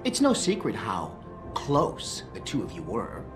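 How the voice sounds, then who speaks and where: A prickly male tech expert from a video game. His speech swings animatedly in pitch, full of snide condescension and sarcasm. Music plays clearly behind him. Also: wildly swooping pitch, measured pace. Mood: bitter, needling. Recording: background music under the voice.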